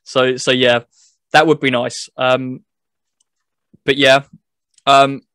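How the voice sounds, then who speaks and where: A man's voice: medium pitch, little pitch movement, slow, fairly bright tone, clean tone, no echo. A man hosting a casual football game review, talking in a loose, rambling, hesitant way. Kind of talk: casual chat.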